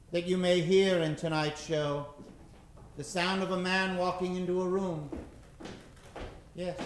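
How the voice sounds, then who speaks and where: Slow, high-pitched theatrical horror radio host, man. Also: fairly bright tone, slight background noise. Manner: melodramatic, ominous, drawn-out delivery with dramatic emphasis. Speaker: host and announcer of a live radio drama show. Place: live stage show before an audience. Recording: roomy live stage recording with music. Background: music.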